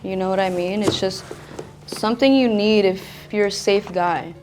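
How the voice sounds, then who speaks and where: A young woman chatting casually as a dating contestant, blunt and expressive in the way she talks. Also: normal speed, over music. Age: young adult. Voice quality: deep voice for a woman. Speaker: blind date contestant. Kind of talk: casual chat.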